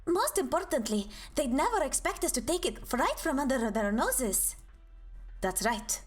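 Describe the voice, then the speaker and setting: Streamer reading as a cool young mercenary woman, calm, even delivery, light pitch, game music underneath. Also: moderate pace. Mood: calm, assured.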